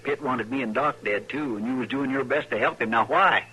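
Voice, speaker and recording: An American actor playing a loyal frontier deputy in an old-time radio western, with folksy rural phrasing and a hesitant, rambling manner. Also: male voice, medium pitch, brisk, bright, forceful tone, slightly rough. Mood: stern, patient. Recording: noisy vintage radio recording.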